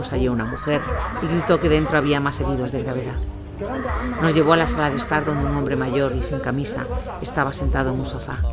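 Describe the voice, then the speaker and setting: A man reading a scripted first-person war account as its narrator. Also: mid-range voice. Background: music.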